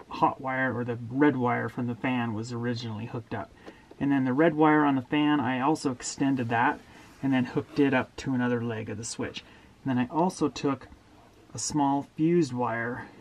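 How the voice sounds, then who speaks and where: A middle-aged man walking through a camper wiring upgrade step by step, in a steady, plain-spoken way, recorded in a small indoor space. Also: medium pitch, a little hiss or hum. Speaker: DIY camper owner explaining a wiring upgrade. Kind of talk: hands-on how-to walkthrough.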